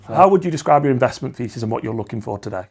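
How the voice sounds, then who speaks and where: A man's voice: medium pitch, animated, rapid-fire, gravelly, a little mellow, slightly rough. A man hosting an interview, asking questions and giving short, encouraging acknowledgments. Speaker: interview host.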